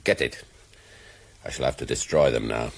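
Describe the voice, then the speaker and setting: A man playing a menacing, corrupt town boss in a radio crime drama, gravelly and husky. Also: very deep voice, normal speed, heavily acted. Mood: angry, scornful. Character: menacing, corrupt, bullying middle-aged male town boss.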